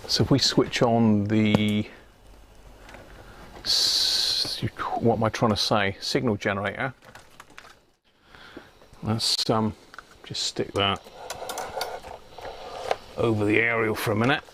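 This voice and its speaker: A man's voice: on the low side, fairly even intonation, slow pace, long pauses, noisy background. A British man muttering and thinking aloud in casual live commentary while working at a bench, as a stream host.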